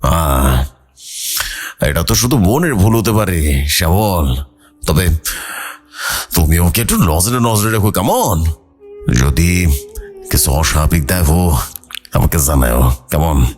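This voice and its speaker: A man voicing a grave, respected village priest in a horror story, speaking in a measured, cautious way with sighing hesitations. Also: deep voice, big pitch swings, a little mellow, faint music behind, full character voice. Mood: cautious, reassuring.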